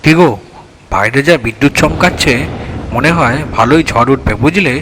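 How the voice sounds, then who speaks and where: A man's voice: medium pitch, moderate pace, background noise, natural voice. A man playing a hardworking village father and woodcutter in a cartoon, talking in short, instructing phrases. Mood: urgent, instructing. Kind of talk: cartoon dialogue. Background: background music.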